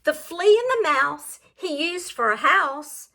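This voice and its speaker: A woman reading a picture book aloud like a teacher, in an expressive sing-song way, pausing to question and explain words to young listeners. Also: high voice, gravelly.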